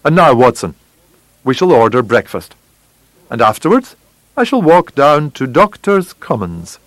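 A man voicing an unruffled, witty gentleman detective in an audiobook, speaking in a bland, quietly amused manner. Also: mid-range voice, sing-song, a little slow, no echo, poor audio. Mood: wry, composed. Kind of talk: audiobook character voice.

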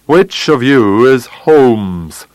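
A man voicing a furious, menacing old villain in an audiobook, snarling and blustering as he shouts. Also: mid-range voice, animated, very slow, no echo. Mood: angry, demanding. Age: older. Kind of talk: audiobook character voice.

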